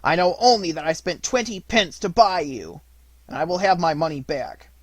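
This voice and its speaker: A man's voice: on the high side, expressive, normal speed, no echo. Man playing an indignant, blustering cheated buyer. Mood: angry. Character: gruff, indignant man cheated out of his money.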